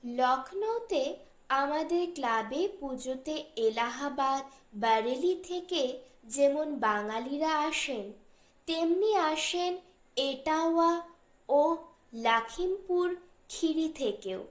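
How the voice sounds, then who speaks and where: A woman reads a short story as an audiobook narrator, in a measured, deliberate way with pauses between phrases. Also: high voice, expressive, roomy sound.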